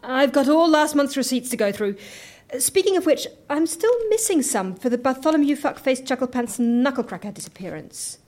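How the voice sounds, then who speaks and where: An actress playing a stern, conscientious, exasperated bookkeeper in a comedy audio drama, sarcastic and indignant. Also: female voice, high voice, animated, moderate pace, darkish tone. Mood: businesslike.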